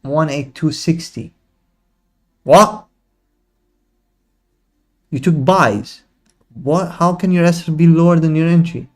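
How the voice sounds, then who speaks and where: A man's voice: fairly high pitch, fairly even intonation, takes it slowly, long pauses. A young man hosting a live trading stream, chatting casually in slang and teasing his viewers. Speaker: livestream trading host. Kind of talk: casual live commentary to chat.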